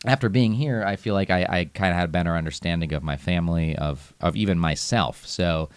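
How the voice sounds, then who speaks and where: Low-voiced man, relaxed and rambling. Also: a little fast, smooth, no room sound. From United States. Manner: relaxed, laughs often, rambling. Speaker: guest. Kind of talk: casual chat.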